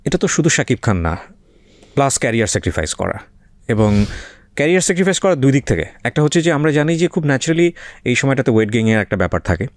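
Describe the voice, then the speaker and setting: A man's voice: mid-range voice, very animated, fast, no room sound. A man with a Bangladeshi accent hosting a radio interview, asking probing questions conversationally and switching in and out of English. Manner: switches between Bengali and English.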